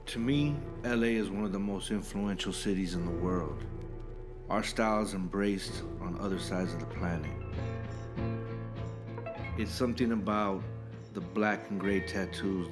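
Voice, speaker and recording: Interviewee, male, deeper voice, assured, music bed. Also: a little slow, pauses often. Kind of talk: interview talk. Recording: interview over loud music.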